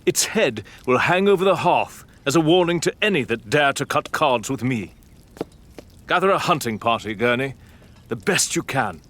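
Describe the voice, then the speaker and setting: A man's voice: mid-range voice, lively intonation, normal speed, a bit bright. A man playing a haughty, defiant lord of the manor in a gothic audio drama, speaking in an imperious, sardonic, theatrical way. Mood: grimly resolute.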